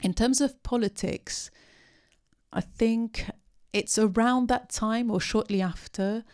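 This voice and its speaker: A woman with a low, gravelly voice talks through a post-film question. She pauses often and restarts her sentences as she thinks aloud. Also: a little mellow. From part Syrian. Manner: hesitant, many ums and restarts. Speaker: panelist at a post-film discussion. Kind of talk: unscripted talk answering audience questions.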